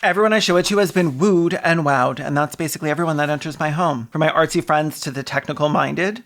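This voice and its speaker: Sardonic male video essayist, slightly high voice. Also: vocal fry, a bit bright. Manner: sardonic, dry wit. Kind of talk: scripted commentary video essay.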